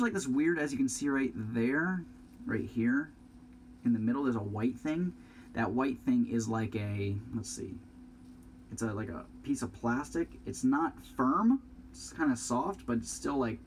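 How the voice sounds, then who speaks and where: A young man talks through an unboxing at a desk in a small home room, his voice stuffy and sniffly from congestion. He rambles, wonders aloud and drops the odd curse, in an ordinary mid-range pitch. A faint hiss sits behind him. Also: a little mellow. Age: young adult. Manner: rambling, chatty, swears casually, thinks aloud. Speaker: product reviewer. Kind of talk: casual unboxing review.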